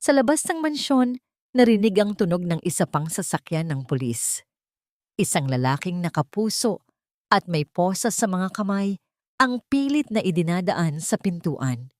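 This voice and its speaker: A woman narrates a scripted drama as a storyteller. Her voice sits a little low, and her delivery is measured and dramatic. The sound is dry, with very little room in it. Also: very animated, a little mellow, no room sound.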